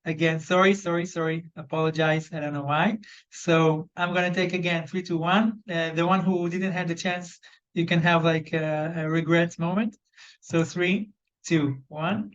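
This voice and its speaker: Man, Israeli accent, high voice, HR trainer, casual with lots of fillers, muffled video call sound. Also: little pitch movement, moderate pace, fairly bright tone. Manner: loose, conversational, lots of fillers and restarts. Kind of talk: live online training session.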